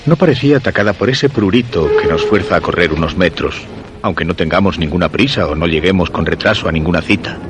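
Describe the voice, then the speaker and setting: Loud urban ambience surrounds a brooding male diarist with a deep voice. He narrates in Castilian Spanish, in the first person, as an obsessive character in a suspense radio play. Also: background noise. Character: brooding, obsessive man narrating his own diary.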